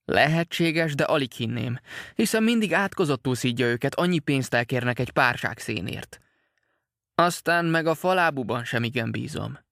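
A man's voice: medium pitch, expressive, gravelly, a bit bright, no echo, clean studio sound. A man voicing a worried, talkative boatman's wife, rambling on about her absent husband in an anxious, chattering way. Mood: fretful chatter.

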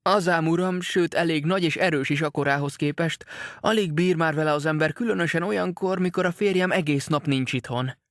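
A worried boatman's wife who chatters on without pause, as a male reader puts her on. She is pitched clearly higher and lighter than his own reading voice, fretful and rambling, and the dry, close sound has no room around it. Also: no echo, natural voice. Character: worried talkative boatman's wife, middle-aged woman.

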